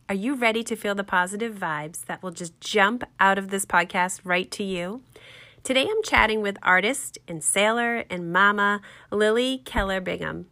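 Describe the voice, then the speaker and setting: A woman's voice: medium pitch, pushed and bright. A woman hosting a podcast, talking in an upbeat, enthusiastic way and interviewing a guest. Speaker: podcast host.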